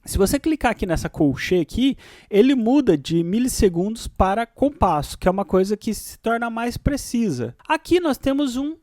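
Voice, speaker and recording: A Brazilian man giving an informal, explanatory software tutorial, often checking that the listener follows. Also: extremely high pitch, sing-song, moderate pace, dry recording. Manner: explanatory, often checking understanding with tag questions. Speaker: music software instructor.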